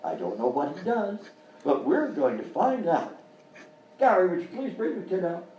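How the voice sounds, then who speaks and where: An actor playing a flustered, falsely cheery children's TV host who stammers and hems, in a live radio-style comedy sketch. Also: male voice, high voice, very animated, moderate pace, mellow, dull audio. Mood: cheery patter. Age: middle-aged or older. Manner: stammering, hemming and hawing, exaggerated. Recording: roomy live stage, distant mic, faint noise.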